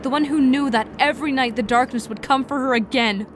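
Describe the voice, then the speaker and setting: A woman's voice: high voice, brisk, natural voice. A woman playing an embittered, obsessive young villainess in a fantasy audiobook, intense and self-justifying. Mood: bitter, intense. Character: embittered, obsessive young woman, dangerous, power-hungry twin sister. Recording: clear ambient noise behind voice.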